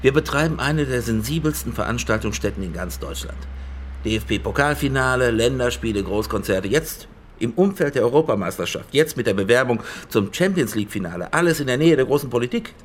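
Actor as blustering boss with swinging pitch. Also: male voice, lively intonation, a little fast, slight background noise, natural voice. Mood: self-important. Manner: brusque, bossy. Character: blustering, short-tempered male stadium boss, crudely bossy. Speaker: stadium manager. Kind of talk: radio crime drama dialogue.

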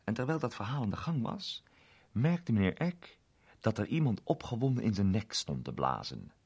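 A man with a low-pitched voice narrates a scripted story. His delivery is expressive, with lively, wide-ranging intonation throughout. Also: moderate pace, slightly rough.

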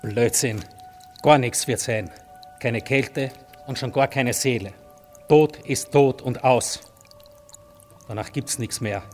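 Actor as blunt mountain woodcutter. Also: male voice, mid-range voice, takes it slowly, long pauses, soft background music, lightly acted. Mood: blunt, dismissive. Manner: blunt, laconic. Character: blunt, fatalistic male mountain forestry worker. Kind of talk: radio drama acting.

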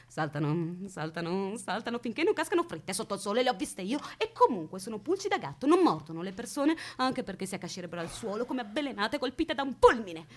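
An actress playing a whimsical, childlike and bossy captor in a radio drama, with a dreamy, theatrical, lecturing manner. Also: female voice, mid-range voice, big pitch swings, fast talker, hardly any pauses. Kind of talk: stage-style radio drama acting.

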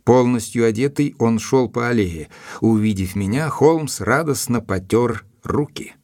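An actor playing a loyal gentleman companion who narrates a detective audio drama in a measured, composed way. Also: medium pitch, no echo, studio quality, natural voice. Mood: reflective.

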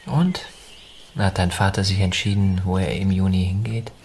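Low-voiced possessive upper-class husband. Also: male voice, level tone, normal speed, a little mellow, a little hiss or hum. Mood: anxious. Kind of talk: German radio drama acting.

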